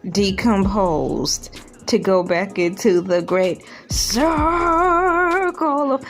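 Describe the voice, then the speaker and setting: A woman reading news aloud as a humorous online creator, dropping in sarcastic, joking asides with theatrical, drawn-out emphasis. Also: medium pitch, lively intonation. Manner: sarcastic, joking asides between read lines. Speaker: online video creator reacting to a news article. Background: background music.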